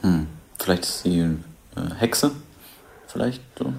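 An actor playing a curious onlooker who describes scenes in simple, tentative remarks, in a conversational radio drama style. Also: male voice, sits low, expressive, slow, vocal fry, a little mellow, a little hiss or hum, heavily acted. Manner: tentative, puzzled, simple observations. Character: curious male onlooker puzzling out what he watches.

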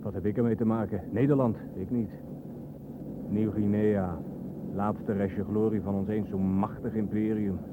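A man's voice: on the low side, steady tone, moderate pace, close to an everyday voice. An actor playing a bitter, sardonic adult son in a radio drama, brooding as he talks. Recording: narrow phone-like sound over vehicle noise.

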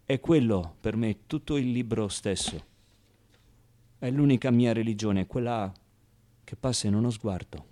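A man acts out a scrappy ten-year-old orphan telling his own story for radio theatre. The delivery is colloquial and raw, moving between muttered asides and outbursts, with a wry, wounded edge. The voice sits at a middling adult male pitch. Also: a little slow, pauses often, warm tone, close to an everyday voice. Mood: indignant, impassioned. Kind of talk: theatrical radio monologue, first-person storytelling.

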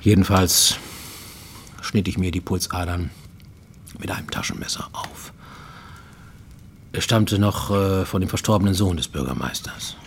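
Grüblerischer, einsamer Mann mit tiefer Stimme. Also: male voice, sits low, unhurried, long pauses, a little hiss or hum. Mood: intense, haunted. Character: brooding, lonely Japanese man confessing his troubled youth to a professor. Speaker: radio play actor. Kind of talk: dramatic first-person monologue in a radio play. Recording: studio radio play with music underneath.

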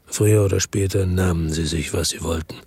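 Weary noir investigator, husky low voice, terse first-person radio drama narration, close dry studio sound. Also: male voice, fairly even intonation, brisk, a little mellow, dry recording, exaggerated voice. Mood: bitter, resigned. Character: weary hard-boiled male investigator narrating his own story. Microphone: close dry studio microphone.

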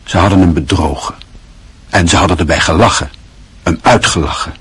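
A man narrating a radio play in a measured, even storytelling manner. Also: deep voice, husky.

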